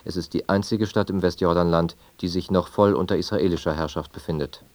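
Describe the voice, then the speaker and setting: A man with a low voice reads a radio bulletin in standard German from Germany. His delivery is formal and measured, recorded close and dry without room sound. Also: steady tone, darkish tone, dry recording. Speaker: radio newsreader. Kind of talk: scripted news and weather bulletin.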